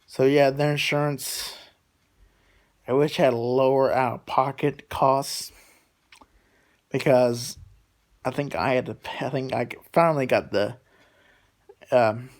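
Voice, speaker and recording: A man's voice: medium pitch, a little mellow. A man in his late twenties chatting casually in a rambling way, repeating himself and drifting between thoughts as he talks to his subscribers. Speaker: vlogger talking to subscribers.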